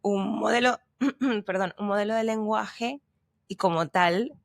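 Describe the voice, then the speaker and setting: A woman co-hosting a podcast, casually explaining things with a Spanish accent mixed with Argentine, pausing and restarting as she talks. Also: medium pitch, creaky, no echo, clean studio sound. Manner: hesitant, restarts and fillers while explaining. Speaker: podcast co-host, language teacher. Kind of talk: casual conversational chat.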